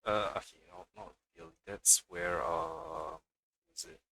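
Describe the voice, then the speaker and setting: A man's voice: low voice, fairly even intonation, measured pace, gravelly, pushed and bright. A man giving casual let's play commentary in short, muttered reactions as a game streamer. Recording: faint game music behind.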